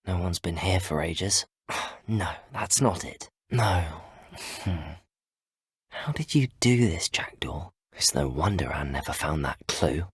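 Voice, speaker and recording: A courteous, resolute young student wizard for a video game. He is well-spoken with an English accent, his pitch moves in a lively way, and the sound is dry. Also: takes it slowly, slightly rough, no echo, full character voice. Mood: musing, searching. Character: polite, resolute teenage boy, a student wizard.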